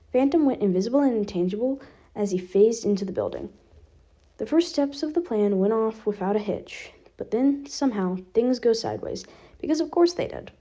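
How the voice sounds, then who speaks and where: A woman's voice: mid-range voice, animated, moderate pace, mellow. A woman reading fan fiction aloud as scripted narration. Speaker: podfic reader.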